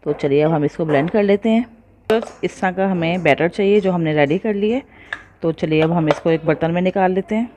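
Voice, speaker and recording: A woman's voice: on the low side, a little fast, darkish tone, a little hiss or hum. A woman hosting a home cooking video, explaining each step to her viewers in a friendly, patient way. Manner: friendly, addresses viewers often, mixes in English words. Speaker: cooking channel host. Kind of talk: step-by-step recipe voice-over.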